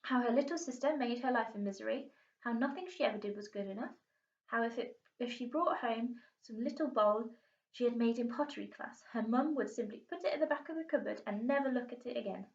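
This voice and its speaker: A woman teacher reading a children's storybook aloud in an expressive storytelling style. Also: on the high side, a little fast. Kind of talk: storybook narration. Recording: roomy, distant, muffled home recording.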